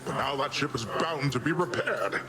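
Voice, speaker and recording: An actor voicing a jovial, boastful sea god who talks in a hearty, brash and theatrical way, in game dialogue. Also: male voice, fairly high pitch, normal speed, a crowd in the background, lightly acted. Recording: game dialogue over loud music.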